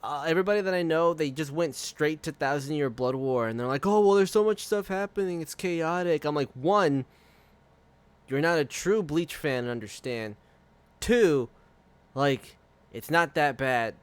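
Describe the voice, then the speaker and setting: A man's voice: on the high side, normal speed, clean tone, dry recording. A man streaming live, chatting casually and rambling with frequent swearing and laughter. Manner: profane, rambling, laughs often.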